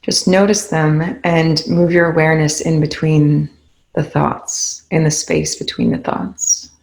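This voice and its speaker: A woman leading a guided meditation, speaking in a calm, gently instructive way with unhurried spoken cues. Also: sits low, vocal fry, low-quality recording.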